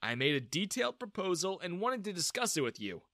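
A man plays a determined eighteen-year-old in a narrated story. He is earnest and defiant, with a high, bright, animated voice. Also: fairly quick, dry recording, studio quality, full character voice.

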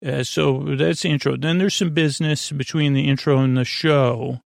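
A man's voice: fairly high pitch, fairly even intonation, normal speed, vocal fry, no echo, studio quality. A man hosting a bedtime podcast, rambling in a friendly, meandering way, often trailing off and restarting. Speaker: podcast host.

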